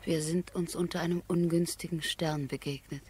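An actress playing a refined married noblewoman in a radio drama, measured and formal, with restrained yearning. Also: lowish voice, steady tone, background noise, close to an everyday voice. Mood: melancholy. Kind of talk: 1970s German radio play acting.

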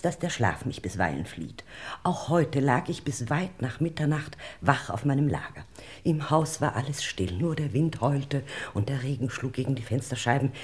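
An actress playing a genteel, melodramatic English lady in an old German radio crime play, breathy and florid in manner. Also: extremely low pitch, darkish tone, heavily acted. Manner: theatrical, old-fashioned florid speech. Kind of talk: vintage German radio crime drama acting.

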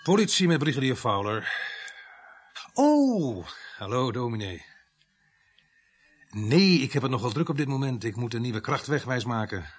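An actor in a radio play as a middle-aged, grumbling veteran village police sergeant, speaking with dry, gruff sarcasm. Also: male voice, mid-range voice, sing-song, a little slow, pauses often. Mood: busy, jovial. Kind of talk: radio drama acting.

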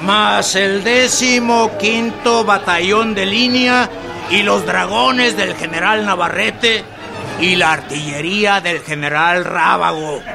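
An actor playing a male army general in a historical radio drama, barking battle orders with deliberate authority. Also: sits high, creaky, exaggerated voice. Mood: commanding. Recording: music and crowd noise behind. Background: music and crowd of soldiers.

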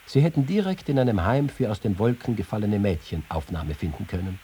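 A man's voice: on the low side, animated, brisk, mellow, a little hiss or hum, natural voice. An actor voicing a wry young reporter-writer reading his own letters and memories in a radio feature. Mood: amused recollection.

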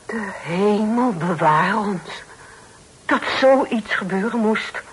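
An actress playing a pious, stern but charitable older aunt in a radio play, speaking in a preachy, solemn manner. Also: female voice, medium pitch, lively intonation, slow pace, a little hiss or hum, poor audio. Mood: scandalized, righteous. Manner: preachy, scripture-quoting, solemn exclamations. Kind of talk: Dutch radio play acting. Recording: old radio play recording.

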